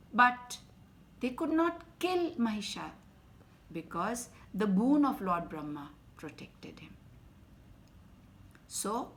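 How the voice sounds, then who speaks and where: A woman with an Indian English accent who tells children a bedtime story in an expressive, dramatic way and drops to a whisper at times. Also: on the low side.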